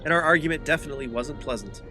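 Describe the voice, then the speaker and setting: An actor playing a chatty, excitable male sidekick in a comic sci-fi audio drama, with an eager, jokey delivery. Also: on the high side, very fast, natural voice. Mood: casual, conciliatory. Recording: loud music under the dialogue.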